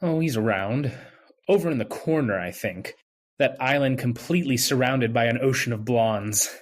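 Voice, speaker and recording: A man's voice: mid-range voice, normal speed, lightly acted. A man playing a mild young hospital intern in a radio drama, casual and agreeable. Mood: easygoing, agreeable. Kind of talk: old-time radio drama acting.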